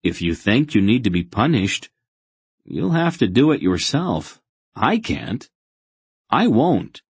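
A man's voice: lowish voice, big pitch swings, slow pace, vocal fry, husky, dry recording, voice pushed up, exaggerated voice. A man voicing an earnest, awkward and stubborn boy hero in a fantasy audiobook. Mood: grave, firm. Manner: flustered, protesting, firm.

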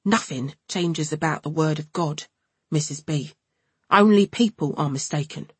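A woman voicing a pompous young male preacher with a nasal Cockney accent who enunciates slowly and painfully. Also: low-pitched, slightly rough, no room sound, full character voice. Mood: sanctimonious. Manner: slow, painfully enunciated, sanctimonious.

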